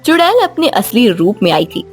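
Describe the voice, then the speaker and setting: A woman's voice: medium pitch, sing-song, normal speed, soft background music. A woman narrating a scripted children's story as a storyteller.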